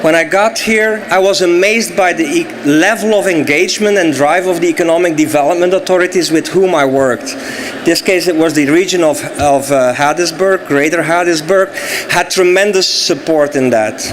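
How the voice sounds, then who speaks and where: A man from Belgium with a Belgian Dutch accent, a native Dutch speaker, giving an earnest, measured speech at an event with light humor. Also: a little high. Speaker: sponsor speaker.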